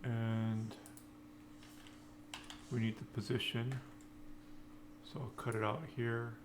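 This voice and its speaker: A man giving an unscripted coding tutorial, thinking aloud in halting, short fragments. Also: mid-range voice, level tone. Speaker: programming tutorial instructor. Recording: noisy with keyboard typing. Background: computer keyboard typing.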